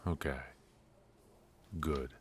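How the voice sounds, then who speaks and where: A man playing a very deep-voiced charming wounded mobster. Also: fairly even intonation, drawn-out words, heavily acted. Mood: confiding. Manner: teasing, playful and flirtatious. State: injured and a bit stiff. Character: charismatic wounded ex-mafia man, teasing. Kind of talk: ASMR roleplay.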